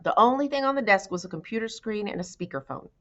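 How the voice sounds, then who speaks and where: A woman's voice: medium pitch, lively intonation, fast talker, fairly bright tone, muffled recording. A woman reading a children's storybook aloud as narrator. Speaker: audiobook reader.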